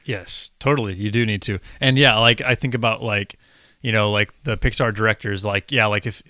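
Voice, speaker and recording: A man co-hosting a podcast in casual chat, earnest and halting as he thinks aloud. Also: fairly low pitch, fairly even intonation, bright, forceful tone, dry recording, clean studio sound. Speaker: podcast co-host.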